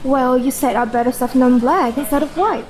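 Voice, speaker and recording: A woman's voice: high-pitched, moderate pace, a little mellow, natural voice. A woman playing a wry, fair-minded wife who teases and questions her husband in a radio drama. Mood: concerned, probing. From Indonesia. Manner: wry, probing. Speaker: actor in a student radio drama.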